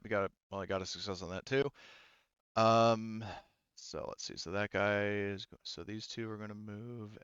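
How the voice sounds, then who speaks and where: A man's voice: on the low side, fairly bright tone, clean tone, no room sound, dull audio. A man running a tabletop game as game master, talking casually and hesitantly as he thinks through and explains the rules.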